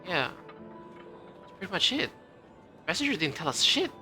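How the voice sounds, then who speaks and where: A woman's voice: on the low side, sing-song, normal speed, sharp tone, soft background music. A woman streaming a game with casual, exasperated, sweary reaction commentary.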